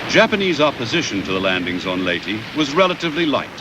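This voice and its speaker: A man reading scripted historical documentary narration in a measured, formal way with deliberate pauses, on a VHS transfer. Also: mid-range voice, big pitch swings, background noise.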